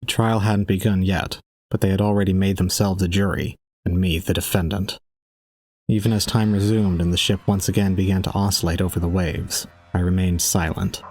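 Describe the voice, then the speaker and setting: A man playing a detached, resigned young student, narrating solemnly and calmly in an audio drama. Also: sits low, normal speed, no room sound. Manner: solemn, calm, unemotional. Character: detached, resigned young male student recounting his own ordeal. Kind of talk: scripted first-person audio drama narration.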